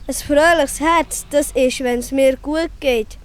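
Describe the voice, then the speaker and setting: A woman's voice: high-pitched, slow, a little hiss or hum. A woman playing a small, naive male forest animal child in a children's radio play, with a Swiss German accent and a questioning manner. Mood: curious.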